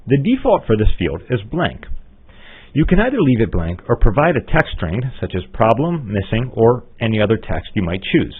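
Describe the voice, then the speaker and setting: A man giving methodical, instructional narration for a scripted step-by-step software tutorial. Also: fairly low pitch, slightly rough. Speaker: software trainer. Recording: muffled, phone-like narrow-band audio.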